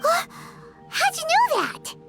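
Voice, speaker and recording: A woman voicing a tiny, childlike, chirpy fairy companion with a squeaky voice for a video game. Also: huge pitch swings, takes it slowly, exaggerated voice. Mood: amazed. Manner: refers to self in third person, exclamatory. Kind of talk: video game character acting. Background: background music.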